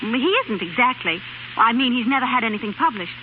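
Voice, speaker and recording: Actress as an earnest young wife, tinny old radio. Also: female voice, fairly high pitch, brisk, close to an everyday voice. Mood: earnest, defensive. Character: devoted young wife championing her husband's writing. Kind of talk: 1930s radio acting. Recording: noisy tinny 1930s radio recording.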